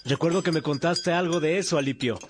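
A man's voice: a little high, fairly quick, a little hiss or hum, lightly acted. A man playing a friendly monk chatting with his brothers in a radio drama.

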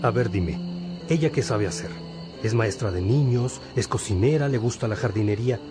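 An actor playing a wise, patient priest and teacher in a religious radio drama, gently questioning and instructing. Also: male voice, lowish voice, normal speed, close to an everyday voice. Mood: probing, earnest. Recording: music behind the voice.

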